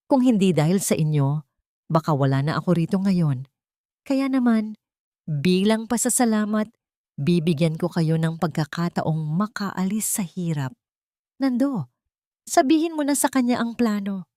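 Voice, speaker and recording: A woman's voice: mid-range voice, expressive, moderate pace, long pauses, warm tone, no echo, natural voice. Haughty, mocking wealthy villainess for story melodrama, voiced by a female narrator, very dry sound. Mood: smug. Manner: mocking, silky condescension. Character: haughty, venomous rich mistress in a melodrama.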